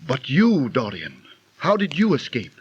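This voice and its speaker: An actor playing a solemn, earnest ancient Greek priest in declamatory 1930s radio drama style. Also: male voice, medium pitch, huge pitch swings, measured pace, slight background noise. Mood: concerned. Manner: declamatory, archaic speech. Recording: muffled 1930s radio recording.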